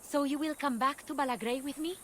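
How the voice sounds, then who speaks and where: A composed woman healer in an ancient-world game. Her voice is fairly high, gentle and earnest, with measured phrasing over faint background noise. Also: steady tone. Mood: warm, teasing. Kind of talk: video game cutscene acting.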